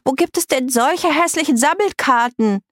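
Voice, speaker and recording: A woman's voice: high voice, animated, moderate pace, fairly bright tone, no room sound, studio quality, voice pushed up. A woman narrator voicing a prissy, girly young girl with a stuffy, nasal voice in a children's story. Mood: disgusted. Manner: squealing, affected.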